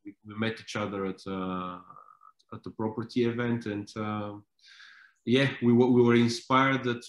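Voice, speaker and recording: A man's voice: on the low side, roomy sound. A man in his thirties, originally from Bulgaria, speaking as a company founder in a video call interview, hesitant with many fillers and restarts. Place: home room indoors.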